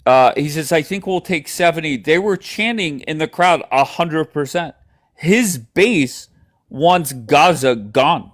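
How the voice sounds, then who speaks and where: A man's voice: a little high, animated, fairly bright tone. A man hosting a heated live online debate, cutting in and steering the talk. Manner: interrupting, rapid back-and-forth. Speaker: live stream debate host.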